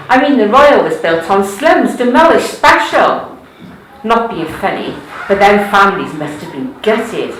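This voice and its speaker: A woman's voice: medium pitch, pushed and bright, a little hiss or hum. A woman from Wales with a Cardiff accent, reading poems aloud at a live event with chatty asides in between. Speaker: poetry reader. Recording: echoey room.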